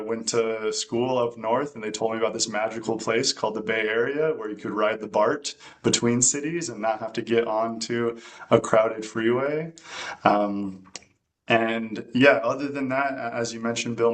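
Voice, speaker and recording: A man's voice: on the low side, a bit bright. A man speaking on a webinar panel, hesitant with many fillers and restarts. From United States.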